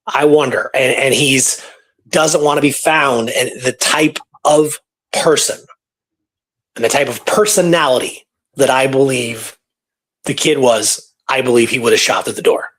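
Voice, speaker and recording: A man's voice: medium pitch, measured pace, a bit bright, rough recording. A man co-hosting a show, talking casually through history and voicing imagined thoughts as he tells it. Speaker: radio show co-host.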